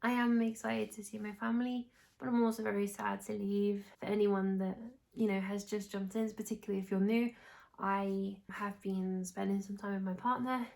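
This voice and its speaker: Young english girl vlogging about knitting, bit nasal and hoarse from being ill, creaky voice, rambles and restarts a lot. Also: mid-range voice, level tone, moderate pace, darkish tone. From England. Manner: chatty, rambling, frequent restarts. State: hoarse, congested, recovering from illness. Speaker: knitting podcast host. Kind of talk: casual chat to camera.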